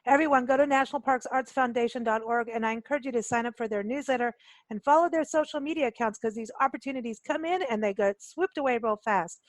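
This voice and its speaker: A woman's voice: on the high side, fast talker, no echo. A woman hosting a radio interview in a casual, chatty and enthusiastic way. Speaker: radio show host.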